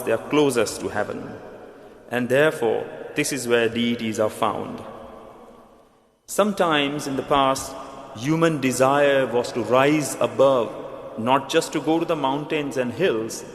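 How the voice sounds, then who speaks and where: A man with an Indian accent delivers a sermon in an earnest, measured way, his voice sitting in a middle pitch. The sound rings out through a large church hall with a long, echoing reverb. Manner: earnest, measured preaching with restarts. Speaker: preacher.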